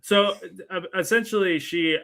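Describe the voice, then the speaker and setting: A man talking casually as a movie reviewer, with a halting, rambling delivery. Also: high-pitched, a bit bright. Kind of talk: casual film review chat.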